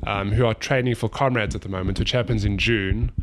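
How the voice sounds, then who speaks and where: A man's voice: lowish voice, a little fast, noisy background. Congested young man, teasing banter. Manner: teasing, laughs often, animated retelling. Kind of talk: casual chat between friends.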